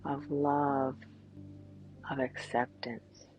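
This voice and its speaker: A woman guiding a meditation in a gentle, soothing manner, with long pauses and a soft voice that turns breathy at times. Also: very deep voice, flat delivery. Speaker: yoga teacher and meditation guide. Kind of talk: guided meditation. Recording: dull, lo-fi sound. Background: clear background music.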